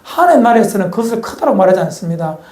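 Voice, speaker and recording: A man's voice: high-pitched, big pitch swings, racing, a little mellow. A male pastor with a Gyeongsang Korean accent preaching a sermon in a church, folksy and asking the congregation questions. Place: church sanctuary. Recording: echoey church hall.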